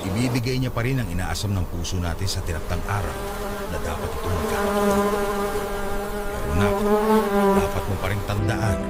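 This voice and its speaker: An actor playing a wise, devout, teasingly nagging father of fifty-five in a radio drama, with a Filipino accent and an earnest way of advising. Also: lowish voice. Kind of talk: Tagalog radio drama acting.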